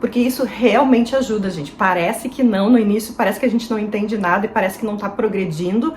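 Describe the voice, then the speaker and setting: A woman's voice: mid-range voice, quick pace, darkish tone, slight background noise. A young woman from Brazil chatting earnestly to her viewers in a casual advice vlog. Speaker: vlogger.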